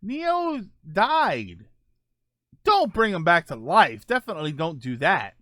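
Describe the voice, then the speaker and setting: A man with a fairly high voice hosts a relaxed live chat. His intonation swings widely as he rambles and jokes through answers to viewers. The sound is dry, with very little room in it. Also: slow, no echo. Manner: rambling, joking, animated. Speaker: podcast host answering viewer questions. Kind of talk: casual live stream chat.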